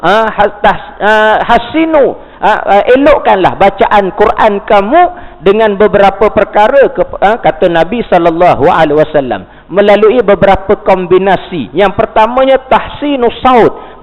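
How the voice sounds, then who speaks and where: A man with a Malaysian Malay accent delivers a mosque lecture in a high voice. He mixes formal reading with chatty asides and rhetorical questions. The dull recording carries the long reverb of a mosque hall. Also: expressive, normal speed. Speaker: religious teacher.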